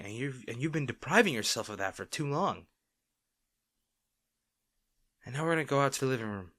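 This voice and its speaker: A tender boyfriend played by a man in an intimate roleplay audio. He speaks in a mid-pitched male voice, coaxing and gently firm, as if looking after a partner close by. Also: lightly acted. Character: caring, gently firm young boyfriend soothing an overworked partner.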